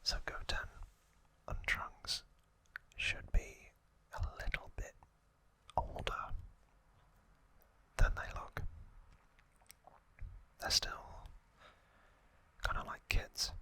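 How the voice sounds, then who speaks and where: Slow, bright-whispering male ASMR host. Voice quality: whispered, breathy, unvoiced. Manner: slow, hushed, short halting phrases. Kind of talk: whispered ASMR trivia narration.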